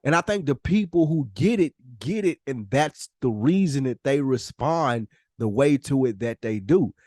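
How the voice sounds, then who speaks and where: A man's voice: medium pitch, lively intonation, normal speed, a little mellow, no echo, studio quality. A man co-hosting a podcast in casual, candid conversation, speaking earnestly and emphatically with plenty of swearing. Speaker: podcast co-host.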